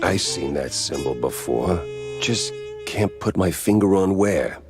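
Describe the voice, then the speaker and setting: An actor playing a world-weary cartoon mouse private eye in a video game, with wry, deadpan noir delivery. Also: male voice, deep voice, a little slow, over music. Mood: brooding. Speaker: detective protagonist and narrator. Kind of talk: 1930s film noir detective voice acting.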